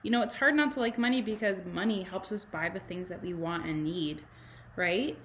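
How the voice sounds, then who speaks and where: A woman, a kindergarten teacher reading to children and adding chatty, animated explanations and questions in simple words. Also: fairly low pitch, normal speed, slight background noise. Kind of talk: children's read-aloud with chatty asides.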